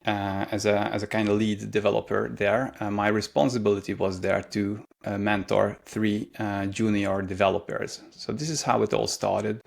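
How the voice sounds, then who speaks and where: Hungarian guy in his 30s, low gravelly voice, lots of uhs while he thinks. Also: flat delivery, normal speed, hardly any pauses. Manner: thoughtful, many hesitations and fillers. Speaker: guest, career mentor. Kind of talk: casual interview answers.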